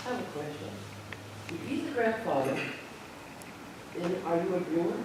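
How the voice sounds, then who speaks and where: A man in an informal group discussion, speaking in a questioning, halting way from far off in a large room. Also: sits high, lively intonation. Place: large indoor arts-center room. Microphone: distant room microphone. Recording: echoey hall, far from mic, background noise.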